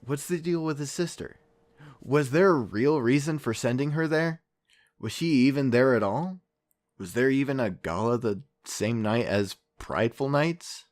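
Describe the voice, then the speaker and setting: A man playing an earnest, weary podcast investigator, narrating a true-crime case in a reflective, deliberate way with dramatic pauses. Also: mid-range voice, natural voice. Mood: probing.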